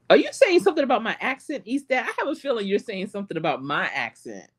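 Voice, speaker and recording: A woman's voice: medium pitch, a little fast, slightly rough. A middle-aged woman with a Charlotte accent, a life coach chatting casually to live stream viewers and telling stories with lots of laughter. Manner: animated storytelling with frequent laughs.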